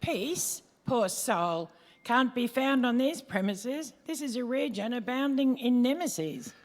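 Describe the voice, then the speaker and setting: A woman's voice: a little high, lively intonation, measured pace. A woman playing a helpful, chatty attendant soul in Hades, explaining things in a rhymed radio play. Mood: obliging. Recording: reverberant hall recording.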